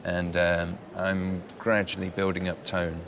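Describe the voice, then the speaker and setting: Deep gravelly man explaining calmly. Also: measured pace, crowd noise. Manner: calm, methodical. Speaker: contestant artist. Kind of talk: explaining his process.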